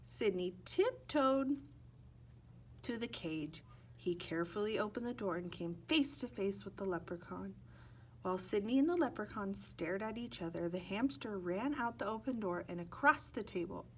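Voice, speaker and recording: A woman's voice: mid-range voice, animated, moderate pace, a little hiss or hum, rough recording. A woman narrating a children's picture book expressively, stretching words and acting out sound effects. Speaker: storybook reader.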